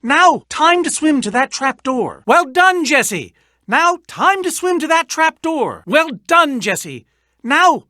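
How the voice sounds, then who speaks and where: An eccentric game inventor with a very animated, swooping delivery. He is boastful but jittery, wordy and theatrical, often trailing into hesitant asides. The sound is close and dry. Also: high-pitched, no echo, full character voice. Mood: encouraging. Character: eccentric, boastful, nervous male inventor and adventurer. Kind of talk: video game character voice acting.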